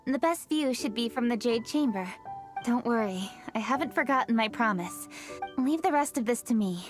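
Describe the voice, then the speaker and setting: A woman's voice: a little high, animated, normal speed, lightly acted. A woman voicing a composed, dutiful young female official in a video game, polite and businesslike in manner. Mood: reassuring. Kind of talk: video game character acting. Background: game music.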